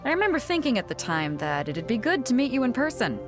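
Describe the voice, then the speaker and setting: A woman's voice: on the high side, very animated, fast, over music. An actress voicing a hearty, confident female ship captain in a video game, with breezy, teasing delivery. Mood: intrigued, friendly. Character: bold, hearty female ship captain, swaggering and good-humoured. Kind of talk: video game story dialogue.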